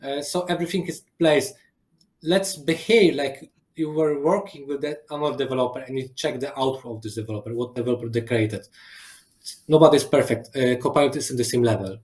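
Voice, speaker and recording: A man whose first language is Polish, speaking over a video call with halting phrasing and frequent restarts as a guest engineer in a webinar. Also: mid-range voice, a little mellow. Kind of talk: live webinar demo and Q&A.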